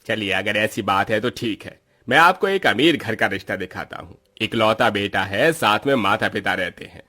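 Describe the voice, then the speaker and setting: A man's voice: on the low side, animated, normal speed, pushed and bright. A man playing an obliging matchmaker priest who talks up grooms in a family story. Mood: agreeable. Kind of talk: Hindi family storybook acting.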